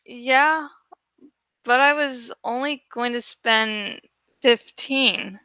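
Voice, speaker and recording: A woman reading the part of a reluctant, exasperated teenage boy in a storybook, halting and plaintive. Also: on the high side, sharp tone, clear, resonant tone, dry recording, full character voice. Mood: meek.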